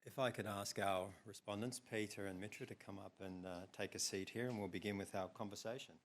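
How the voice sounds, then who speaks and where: A man moderates a conference panel in a very deep voice. He is upbeat and polite, welcoming guests and steering the talk. He jokes about having a loud voice. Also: steady tone, brisk. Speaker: moderator, professor.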